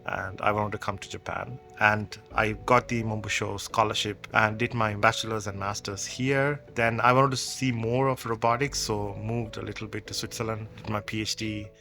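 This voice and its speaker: A man speaks in a low, gravelly yet bright voice. He answers interview questions thoughtfully and conversationally, explaining ideas through examples. Soft music plays underneath. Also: steady tone, normal speed, hardly any pauses. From Sri Lanka. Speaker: company co-founder.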